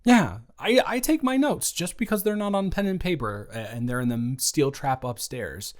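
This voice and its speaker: A man's voice: fairly high pitch, moderate pace, close to an everyday voice. A young man playing the listener's teasing, caring best friend in an improvised audio roleplay, rambling and correcting himself as he talks. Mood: earnest.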